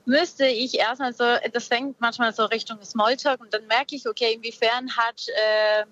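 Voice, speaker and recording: Higher-voiced woman, hesitant, on a dull connection. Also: steady tone, moderate pace, a bit bright. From Peru. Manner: hesitant, searching for words. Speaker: caller, guest on a radio show. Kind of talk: casual reflective conversation.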